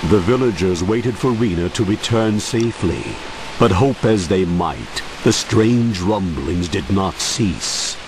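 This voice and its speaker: An actor playing a grave, measured storyteller recounting a tragic village legend in a video game. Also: male voice, low voice, animated, noisy background. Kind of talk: scripted dramatic game narration. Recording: game audio under loud music. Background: loud game music.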